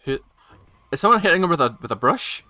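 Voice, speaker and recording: A man hosts a casual reaction video in a bright, forceful voice. He talks haltingly, rambling and doubling back on his own phrases. The sound is dry, with hardly any room in it. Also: fairly high pitch, very animated, no echo. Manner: halting, rambling, circles back to repeat himself. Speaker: reaction channel host.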